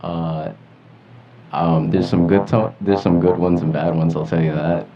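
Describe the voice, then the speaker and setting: A man's voice: low voice, level tone, unhurried, mellow, faint music behind. A teenage or young adult American man co-hosting a talk show with casual, slangy chat. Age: teens or young adult. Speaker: co-host of a teen talk show. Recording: dull lo-fi audio.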